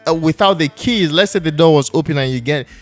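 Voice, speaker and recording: A man from Nigeria who hosts a jazz podcast, talking in a loose, animated way with many restarts. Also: fairly high pitch, fast talker, faint music behind. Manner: animated and storytelling, with laughs and restarts. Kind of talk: casual conversation.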